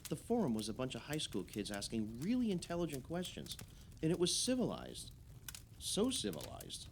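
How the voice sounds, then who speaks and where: A man's voice: a little high, wildly swooping pitch, normal speed, creaky, slight background noise, exaggerated voice. An actor playing an opinionated, idealistic male islander holding forth emphatically in conversational radio play dialogue.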